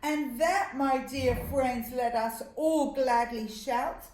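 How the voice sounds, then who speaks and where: A woman's voice: high-pitched, slow, a little mellow, slight background noise. A woman narrating a rhymed children's puppet story, recorded with a roomy echo.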